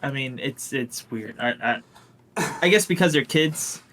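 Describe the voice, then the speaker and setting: A man's voice: mid-range voice, unhurried, fairly bright tone. A man chatting casually in a hesitant, rambling way. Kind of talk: casual chat. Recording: faint background noise.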